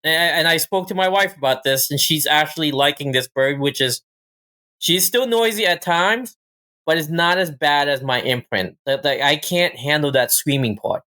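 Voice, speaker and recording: A man's voice: on the high side, normal speed, sharp tone. Casual man recounting his own experiences. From Thailand. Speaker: podcast guest, falconer. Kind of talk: casual personal storytelling and chat.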